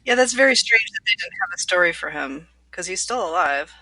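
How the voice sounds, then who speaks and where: A woman's voice: fairly low pitch, expressive, a little fast, pushed and bright, dry recording, poor audio. A woman co-hosting a podcast, casually discussing a book with others.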